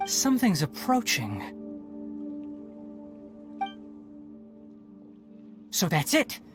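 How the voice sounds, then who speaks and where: An actor playing a young forest ranger scholar in a video game, calm and clinical, explaining things in precise, measured phrases. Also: male voice, high voice, loud music behind the voice. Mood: alert, urgent. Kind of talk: video game character dialogue.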